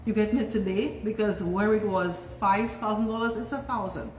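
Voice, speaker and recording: Woman sales associate, lively persuasive pitch, mid pitch, recorded off-mic in a roomy shop. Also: moderate pace, a little hiss or hum. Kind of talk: casual sales pitch on camera. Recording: echoey room from far off.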